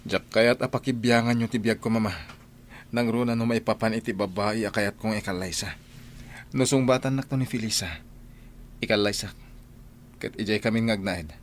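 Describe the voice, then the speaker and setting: Actor as a low-voiced defiant grown son. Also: male voice, fairly even intonation, moderate pace, pauses often, natural voice. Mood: exasperated. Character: defiant grown son in love, stubborn and resolute. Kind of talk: radio drama acting.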